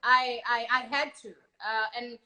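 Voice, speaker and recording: Loud, bright-voiced woman. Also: a little high, unhurried, rough recording. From South Africa. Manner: loud, passionate, expressive delivery. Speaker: radio and television personality, guest. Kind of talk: casual live-stream interview.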